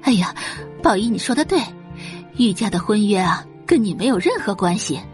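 A woman's voice: medium pitch, sing-song, faint music behind, natural voice. A woman playing a scornful middle-aged rich mother who dotes on her daughter, haughty and dismissive, in an audio drama. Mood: surprised, pleased. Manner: haughty, dismissive, drawn-out sighs and snorts.

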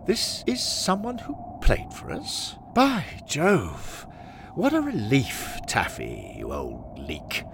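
A man voicing a pompous, excitable old-fashioned gentleman in a blustering, plummy for an audio story. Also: medium pitch, takes it slowly, vocal fry, noisy background, voice pushed up, heavily acted. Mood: hearty.

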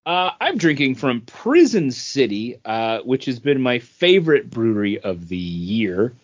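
A man talks casually as a podcast co-host, in an easy reviewer's style. His mid-pitched voice moves up and down in a lively way. The sound is a little muffled and lo-fi. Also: measured pace. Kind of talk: casual chat, reviewing beer.

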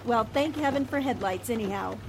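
Lady doing a nice sensible girl reporter, old time radio play, high voice, rain and car sounds behind. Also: expressive, brisk, noisy background. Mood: mild, rueful. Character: good-natured, sensible newspaperwoman driving through rain. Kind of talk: 1940s-style radio drama acting. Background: rain and vehicle sounds.

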